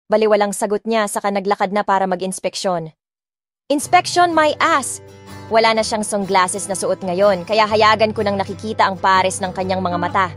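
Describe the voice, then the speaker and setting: A woman's voice: mid-range voice, moderate pace, a bit bright, faint music behind. A woman from the Philippines reading a first-person romance audiobook, dramatic and indignant, switching between Tagalog and English. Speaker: audiobook narrator.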